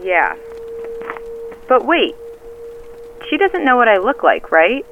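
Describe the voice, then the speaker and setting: A woman's voice: on the high side, sing-song, takes it slowly, slight background noise, exaggerated voice. Watchful woman on a phone line. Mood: tense, watchful. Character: casual, watchful young woman tailing someone while on the phone. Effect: phone call filter. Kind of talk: naturalistic audio drama acting. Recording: band-limited phone line with music.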